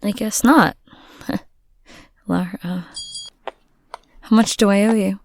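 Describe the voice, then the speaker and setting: A woman's voice: medium pitch, steady tone, dry recording. Wry small-town woman, dry sound. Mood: awkward, wry. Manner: wry, halting, coaxing. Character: wry, quick-witted small-town woman smoothing things over. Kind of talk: horror mystery radio drama acting.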